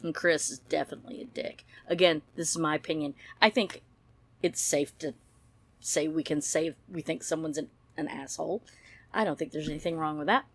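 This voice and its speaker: A woman's voice: low-pitched, fairly even intonation, a little fast, husky, no echo. Rambling woman, close dry sound. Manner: blunt, rambling, mild swearing. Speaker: true-crime YouTube commentator. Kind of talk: casual opinionated commentary.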